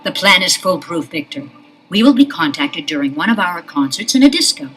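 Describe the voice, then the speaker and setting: A woman's voice: on the low side, big pitch swings, a little fast, a bit bright, faint music behind, low-quality recording. A woman playing a scheming spy in a 1970s record-album drama, speaking stiffly and formally without contractions. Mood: cold, commanding.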